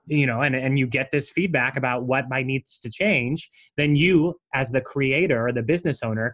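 A man who coaches people on branding, explaining his method in a conversational interview and speaking in an animated way with frequent casual asides. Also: medium pitch.

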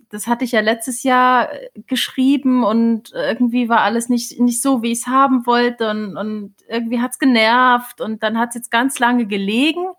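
A woman co-hosting a casual podcast chat, speaking expressively and with enthusiasm. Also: a little high. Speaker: podcast co-host and author.

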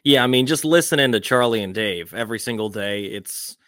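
A man with an average-pitched voice chats as a sports podcast co-host. His delivery is casual and conversational, and the sound is dry with little room in it. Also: animated, brisk, a bit bright, dry recording.

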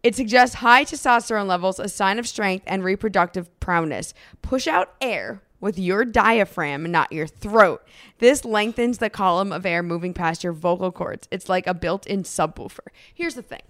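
A woman's voice: medium pitch, moderate pace, fairly bright tone, dry recording, studio quality. Playful woman reading aloud on a podcast. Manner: reads aloud from a book with playful teasing asides. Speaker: podcast co-host. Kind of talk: casual podcast banter.

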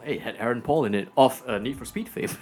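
A man's voice: mid-range voice, quick pace, a little mellow, faint music behind. A man from Singapore with a Singaporean English accent, co-hosting a casual film review podcast and teasing his co-host with jokes. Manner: joking, teasing, calls his co-host "sir".

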